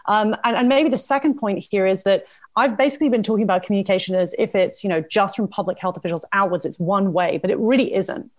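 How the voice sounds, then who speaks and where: A woman's voice: medium pitch, quick pace. A woman with a British accent speaking conversationally as an expert guest in a panel discussion, explaining in an articulate way. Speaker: guest expert on public health communication.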